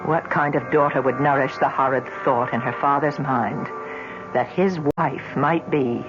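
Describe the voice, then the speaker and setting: An anguished but steadfast daughter in a vintage radio play. The recording is dull and old, with music clearly playing beneath her. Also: extremely low pitch, fairly even intonation, unhurried. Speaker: actress narrating and playing the lead. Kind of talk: old-time radio drama acting.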